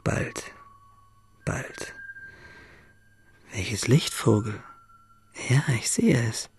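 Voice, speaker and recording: An actor playing a wistful, tender young shipwrecked seaman in a radio drama monologue, intimate and halting, with a husky voice. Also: mid-range voice, wildly swooping pitch, a little mellow, full character voice. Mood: exhausted, desperate. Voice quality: husky, gravelly, breathy.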